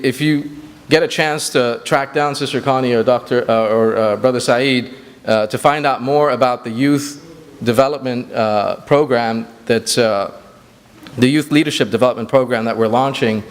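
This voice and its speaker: A man's voice: mid-range voice, big-room sound. A man who grew up in Sri Lanka giving an earnest banquet speech as executive director, with frequent fillers and restarts. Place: large banquet hall.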